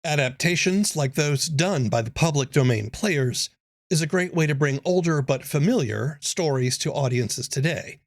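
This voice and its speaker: A man's voice: fairly high pitch, normal speed, no room sound. A man hosting a podcast, reading scripted narration. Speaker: podcast host. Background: instrumental guitar music.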